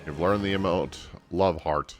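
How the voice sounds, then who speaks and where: A man's voice: low voice, unhurried, soft background music. A man casually streaming a game and reading its dialogue aloud.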